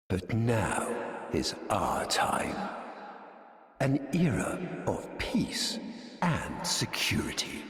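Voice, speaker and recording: An actor voicing a sinister, pompous male tyrant in a video game, preaching in solemn, theatrical oratory with a breathy delivery. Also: lowish voice, heavily acted. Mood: ominous, grave. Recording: very echoey hall, long reverb, distant.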